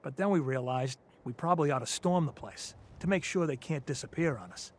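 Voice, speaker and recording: A man's voice: on the high side, a little fast, natural voice. An actor in a video game playing a combative militia man who talks in a blunt, colloquial, challenging way. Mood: angry, urgent. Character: defiant, combative male militia fighter, blunt and suspicious.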